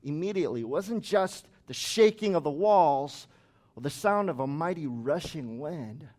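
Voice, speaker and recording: A man's voice: a little high, expressive, normal speed, darkish tone. A man who grew up in the United States, preaching a sermon as pastor in a conversational way with chatty asides.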